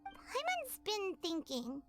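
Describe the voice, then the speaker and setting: A woman's voice: extremely high pitch, huge pitch swings, takes it slowly, fairly bright tone, faint music behind, full character voice. A woman voicing a small, childlike fairy companion in a video game, chatty and curious, referring to herself by name.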